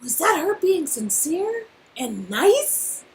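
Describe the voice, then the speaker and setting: A woman's voice: very high voice, big pitch swings, slow pace, pitched above the performer's own voice, full character voice, low-quality recording. A woman voicing an eager, sweet young woman painter who gushes about art in a story game. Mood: excited.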